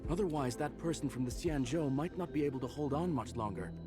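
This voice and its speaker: Actor as an earnest, higher-pitched researcher. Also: male voice, quick pace, close to an everyday voice. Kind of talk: video game voice acting. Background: game music.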